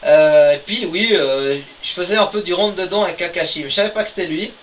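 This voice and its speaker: A man with a fairly high voice chats loosely on a homemade podcast, stumbling through fillers and tossing in jokes. He sits some way from the mic, so the sound is dull and lo-fi with a faint hiss. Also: fast, recorded from a distance. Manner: hesitant, many fillers, joking. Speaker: podcast co-host, writer of the story. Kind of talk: casual chat.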